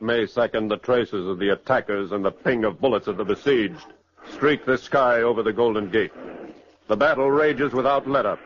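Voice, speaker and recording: Old time american radio narrator guy, grave n melodramatic, mid voice, muffled 1940s sound. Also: mid-range voice.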